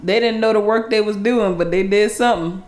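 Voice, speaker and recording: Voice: medium pitch, fairly quick, reverberant. A podcast host chatting casually with a guest, affirming and cheering him on with laughter and the odd swear. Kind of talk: casual interview chat.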